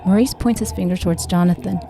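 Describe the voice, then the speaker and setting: A woman's voice: fairly low pitch, quick pace, mellow. A woman reading a story aloud as scripted narration, in the role of narrator. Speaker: audiobook narrator and actor.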